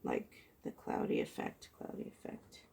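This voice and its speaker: A woman with a hoarse, froggy voice chatting softly as she pours and tilts acrylic paint, recorded on a phone mounted above her table. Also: normal speed. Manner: soft-spoken, chatty, muttering while working. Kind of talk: casual narration while painting. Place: indoor craft table. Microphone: phone held high above the table.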